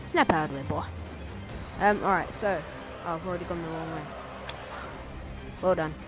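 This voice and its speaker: A man giving casual, rambling let's-play commentary, playful and easily excited. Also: a little high, moderate pace. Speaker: gameplay commentator. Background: game music.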